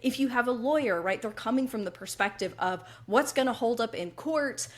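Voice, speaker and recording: A woman's voice: a little high, a little fast. A woman podcast co-host with a slightly froggy voice, explaining writing craft conversationally and checking in as she goes.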